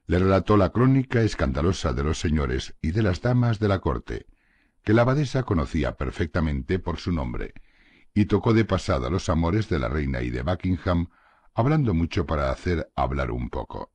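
A man narrates a classic novel in a very deep, husky voice, his pitch rising and falling with lively expression. The reading is measured and steady. The recording is dry and close, with no room sound. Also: dry recording. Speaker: audiobook narrator. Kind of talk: scripted literary narration.

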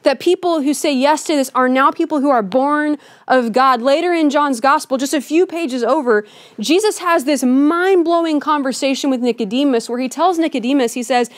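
A woman pastor giving a Christmas sermon in a church, conversational and earnest, often softening her points with "kind of.". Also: high voice, moderate pace, no room sound. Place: church sanctuary with a Christmas tree.

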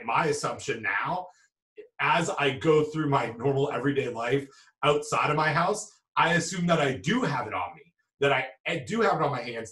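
A man in his forties answering audience questions as a food safety expert on a video call from a home office, halting and restarting often. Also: medium pitch, a bit bright. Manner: halting, many restarts and fillers. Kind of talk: live webinar Q&A. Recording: roomy video call audio, distant mic.

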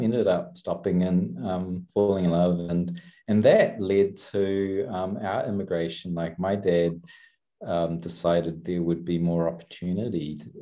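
A man with a New Zealand accent, low in pitch and unhurried, with a mellow, dark tone. He talks haltingly in a relaxed interview, full of ums and restarts, through a headset mic in a small room. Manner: hesitant, many ums and restarts, soft-spoken. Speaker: guest. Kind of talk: casual interview over video call. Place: small room with chalkboard, indoors.

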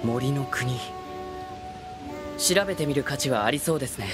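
A man's voice: medium pitch, moderate pace, close to an everyday voice. A man playing a polite, curious, boyish young android soldier in a Japanese game. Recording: loud music behind.

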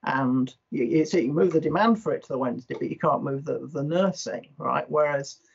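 Male researcher, creaky rough-edged voice, hesitant and reflective, seminar discussion over video call. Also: a little high, fairly quick. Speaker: energy researcher, seminar participant. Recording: dull video call audio.

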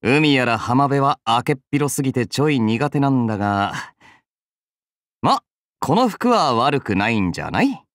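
Young game archer: roguish, wry, teasing slacker, casual drawl, animated intonation, dry studio sound. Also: male voice, mid-range voice, normal speed, no room sound. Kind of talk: Japanese mobile game character voice lines.

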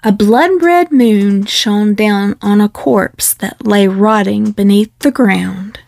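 A woman reading a picture book aloud in a measured, expressive storytelling delivery. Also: medium pitch, gravelly, no room sound. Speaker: children's book reader. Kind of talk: scripted storybook read-aloud.

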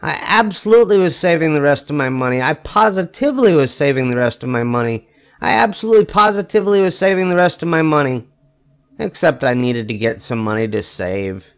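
An older man reading a children's picture book aloud expressively, with emphatic phrasing and drawn-out wry punchlines. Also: on the high side, animated, smooth. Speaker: storybook reader.

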